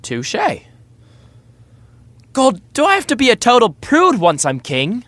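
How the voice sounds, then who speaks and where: Dramatic young party prince, very animated. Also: male voice, very high voice, a little slow, dry recording, heavily acted. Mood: tipsy, playful. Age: young adult. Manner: slangy, dramatic, boyish bravado. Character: young, dramatic, self-deprecating, tipsy party-boy prince. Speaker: actor in a full-cast audio drama. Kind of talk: modern romantic comedy audio drama, casual dialogue.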